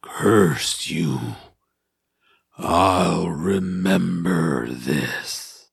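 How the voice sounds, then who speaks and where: Wretched man-eating monster: raspy, creaky, ponderous and drawn-out, for fantasy audio drama. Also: low voice, no echo, clean studio sound, exaggerated voice. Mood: bitter.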